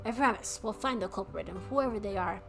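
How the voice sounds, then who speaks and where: A woman voicing a determined young female sleuth, speaking low and earnest in a game playthrough. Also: brisk, music in the background, close to an everyday voice. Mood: resolute.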